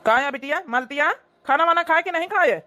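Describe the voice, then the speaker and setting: A woman's voice: sits high, brisk, bright, forceful tone. A woman with an Awadhi accent voicing a trusting, affectionate middle-aged village father in a comic cartoon. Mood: caring. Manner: affectionate, earnest.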